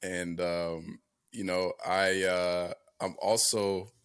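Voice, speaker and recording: Young male podcast host, low-pitched, halting with frequent fillers and restarts. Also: flat delivery, fairly bright tone, clear, resonant tone. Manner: hesitant, many fillers and restarts. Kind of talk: casual sports podcast chat.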